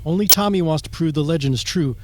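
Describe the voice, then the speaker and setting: A man's voice: a little high, fast, darkish tone, close to an everyday voice. A man playing a temperamental small-town sheriff and scolding father in an amateur radio play. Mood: grim. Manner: gruff, scolding, blustering.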